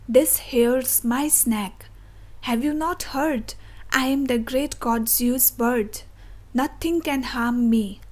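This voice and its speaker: A woman's voice: a little high, darkish tone. A woman voicing a proud, haughty female eagle from a fable in verse, given to grand, insulting speech. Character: proud sneering female eagle, bullying, later anguished.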